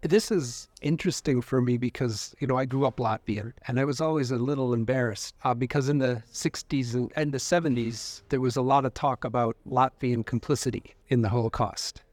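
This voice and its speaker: A man's voice: mid-range voice, fairly quick, few pauses, a little mellow, dry recording. An older man asking questions in a casual interview, conversational and a little hesitant as he talks.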